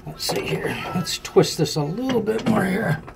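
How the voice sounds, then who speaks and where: A man's voice: high voice, normal speed, gravelly, over loud music. A man casually talking through a boat repair as he works with his hands, thinking aloud in plain folksy words. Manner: relaxed, thinking aloud while working, plain folksy talk. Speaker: boat owner showing a repair. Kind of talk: casual hands-on how-to narration. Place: aboard a boat, in the bilge.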